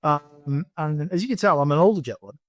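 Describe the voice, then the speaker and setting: A man's voice: fairly high pitch, brisk, dry recording. A Welsh man in his forties, a poker pro guest in a casual podcast interview, talking loosely with many fillers and restarts. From Wales. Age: 40s. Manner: rambling, many fillers and restarts, laughs often.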